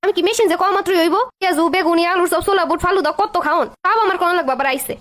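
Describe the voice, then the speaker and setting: A woman acts out a young Bangladeshi wife in a comedy cartoon. Her voice is piercingly high and races along at great speed. She is moody and demanding, forever sulking and finding fault. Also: a bit bright. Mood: annoyed, scolding. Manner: nagging, quick to take offence.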